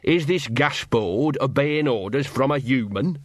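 A man's voice: medium pitch, lively intonation, unhurried, slightly rough, no echo, clean studio sound. A man voicing a scruffy talking dog in an audiobook, wheedling and nervous, rambling colloquially and repeating himself.

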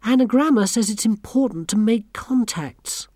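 A nervous young girl witch from a storybook, voiced by a woman reader, pitched up high and timid. She hesitates and apologizes, sounding eager to please, and her lines come dry and close. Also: measured pace, mellow, no room sound.

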